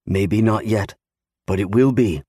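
A man's voice: fairly low pitch, a little slow, mellow, husky, dry recording, clean studio sound. A man voicing a steadfast young navy serviceman, earnestly reassuring his wife in an audiobook. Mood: gentle, steadfast. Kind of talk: audiobook character acting.

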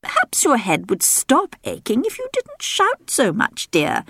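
A woman doing a soft, weary queen. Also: high-pitched, huge pitch swings, measured pace, dry recording, studio quality, voice pushed up, exaggerated voice. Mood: reproachful. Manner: soft, mildly reproachful. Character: gentle, weary middle-aged queen, mildly reproachful.

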